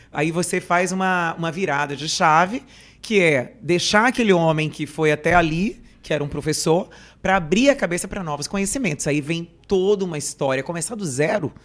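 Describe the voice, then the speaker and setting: A woman's voice: deep voice, a little fast. A Brazilian woman hosting a podcast conversation, affirming what her guest says and elaborating on it. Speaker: podcast host. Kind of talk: conversational interview.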